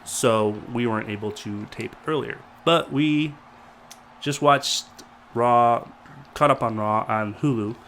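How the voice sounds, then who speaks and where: A man's voice: medium pitch, slow pace, a bit bright, slight background noise. A man co-hosting a casual wrestling review show, talking loosely while getting over a cold that leaves him stuffy. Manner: rambling, loose and chatty. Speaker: co-host. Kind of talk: casual chat.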